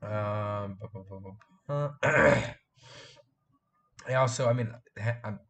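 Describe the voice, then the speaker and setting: An eighteen-year-old man hosting a casual live stream from a room at home, hoarse and congested from a cold, rambling and repeating himself as he chats with viewers. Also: lowish voice, slow, fairly bright tone. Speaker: live stream host and fan film maker.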